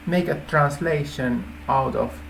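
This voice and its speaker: Man, Finnish accent, slow delivery, halting informal explainer, faint background noise. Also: fairly high pitch, creaky, a bit bright, low-quality recording. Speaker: app developer presenting a feature idea.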